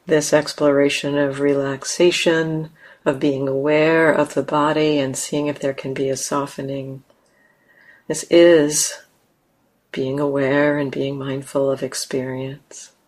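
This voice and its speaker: A woman teaching a guided meditation in a gentle, unhurried way with long pauses. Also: low voice, flat delivery.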